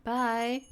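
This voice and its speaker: A woman streaming a visual novel, reading the game text aloud and breaking off into rambling, improvised commentary. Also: on the high side. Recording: game music behind the voice.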